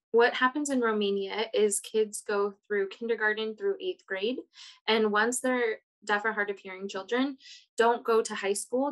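A woman interpreter voicing a panelist's words in steady, plain first-person narration on a video call. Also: medium pitch.

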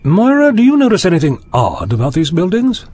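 A man's voice: high voice, huge pitch swings, a little fast, darkish tone, full character voice. A man playing a curious, breezy, slightly fussy adventurer in an audio drama, musing and chatty.

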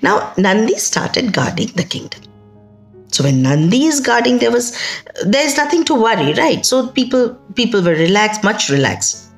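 A middle-aged woman with an Indian accent telling a folktale in an expressive, emphatic way, with conversational asides. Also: fairly high pitch, moderate pace. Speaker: storyteller. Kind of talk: dramatic oral folktale storytelling. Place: indoors, small plain room. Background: music.

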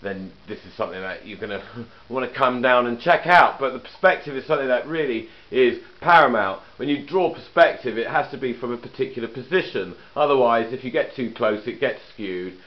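A man's voice: mid-range voice, lively intonation, brisk. A man giving a rambling, joking walkthrough of his own mural in an indoor studio room, talking away from the camera. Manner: rambling, joking, trails off into asides. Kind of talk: casual narrated walkthrough. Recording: dull lo-fi camcorder audio.

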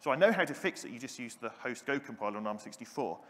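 A middle-aged man delivers a technical conference talk at an average pitch. He sounds keen and pokes fun at himself, filling pauses with ums. His voice carries the long reverb of a big hall. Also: lively intonation, a bit bright. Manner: enthusiastic, self-deprecating, with frequent ums. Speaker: conference keynote speaker. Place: large conference hall stage.

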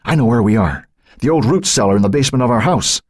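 A scared, protective teenage boy, acted by a male narrator in a suspense audiobook. The voice is breathy and hushed, lifted well up in pitch, and it falters as it goes. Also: expressive, fast, no echo, clean studio sound, natural voice. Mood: urgent. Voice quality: breathy, whispery. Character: frightened teenage boy, protective, trapped in darkness. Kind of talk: audiobook character acting.

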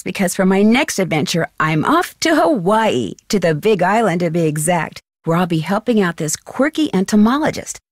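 A woman's voice: medium pitch, no room sound, studio quality, natural voice. A woman voicing a plucky, inquisitive young amateur detective with an American accent in an adventure game, chipper and conversational. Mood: inviting, intriguing. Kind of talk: scripted adventure game dialogue.

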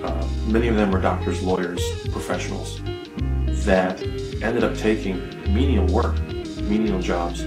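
A man's voice: low-pitched, fairly even intonation, a little slow. A middle-aged American man giving a reflective documentary interview, seated in a dim studio. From United States. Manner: reflective, gestures while talking. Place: dim studio with acoustic panels. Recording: roomy interview with music behind.

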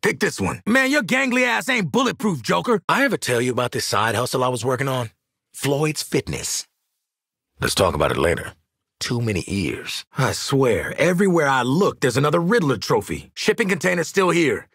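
Sardonic American gun-for-hire, video game squad fighter, forceful, raspy, bossy, blunt. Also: male voice, mid-range voice, sing-song, normal speed, no room sound, studio quality, full character voice. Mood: sardonic, exasperated. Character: hard-edged male mercenary marksman, sardonic, confident, bossy. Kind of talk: video game combat voice lines.

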